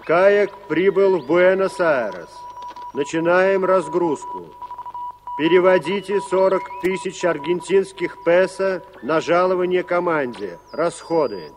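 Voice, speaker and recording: An actor playing a radio operator dictating formal telegrams deliberately in a 1980s Soviet radio drama. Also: male voice, sits high. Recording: noisy old radio play recording.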